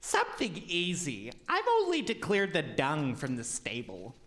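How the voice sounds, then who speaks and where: Casting: female actor as headstrong, cheeky young man for radio folktale, unhurried, extremely expressive pitch. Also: heavily acted. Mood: smitten, breezy. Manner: polite but cocky. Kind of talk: audio drama folktale acting.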